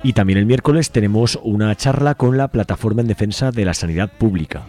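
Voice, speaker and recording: A man's voice: fairly low pitch, a little fast, over loud music. A man from Spain, reading out events as a radio co-host in an informal, wry, casual way.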